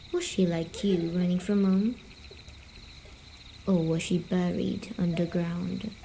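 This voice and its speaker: A woman playing a gentle, teasing sleep paralysis demon, telling stories softly and soothingly in an ASMR roleplay. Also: mid-range voice, gravelly, slight background noise. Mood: eerie, hushed. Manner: slow, soft, deliberate, comforting.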